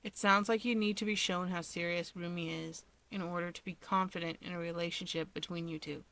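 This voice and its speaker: A woman playing a calm, perceptive younger brother in a story, deadpan and measured. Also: on the low side. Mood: calm warning.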